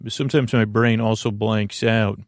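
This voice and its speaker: A man with a creaky, gravelly voice hosting a sleep podcast, meandering and halting through tangents and filler words. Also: lowish voice, steady tone, no room sound, studio quality. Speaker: podcast host. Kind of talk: rambling bedtime sleep podcast monologue.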